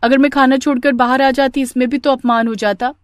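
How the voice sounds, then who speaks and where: A woman's voice: sits high, fairly even intonation, brisk, no room sound, studio quality, pitched above the performer's own voice, lightly acted. A woman voicing a young daughter-in-law, pleading and justifying herself in a family story. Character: young new daughter-in-law, pleading, unashamed and heat-weary.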